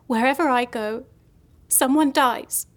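A woman's voice: high voice, measured pace. An actress playing a lonely, gloomy young woman in an audio drama comedy, telling morbid stories earnestly and hesitantly. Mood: gloomy, matter-of-fact.

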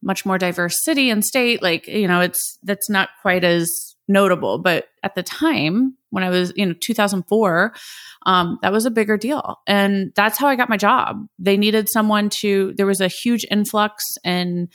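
A woman's voice: medium pitch, moderate pace, fairly bright tone, no echo, studio quality. A woman in her forties from the American Midwest, a company founder talking casually in an interview, with lots of fillers and restarts. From Midwest United States. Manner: chatty, with many fillers and restarts.

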